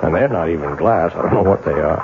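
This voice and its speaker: An older man acting a patient, weary clockmaker husband in a 1970s radio drama, gently placating and reflective. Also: deep voice, fast talker, background noise, natural voice. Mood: puzzled, defensive. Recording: phone-like 1970s radio broadcast, music behind.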